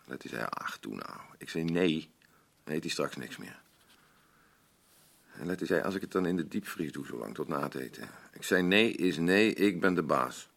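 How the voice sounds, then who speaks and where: An actor playing a bitter, defensive, grieving middle-aged husband in a naturalistic radio play, blunt and grumbling, with a gravelly voice. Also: male voice, very deep voice, animated, moderate pace, fairly bright tone, full character voice. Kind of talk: naturalistic radio drama acting.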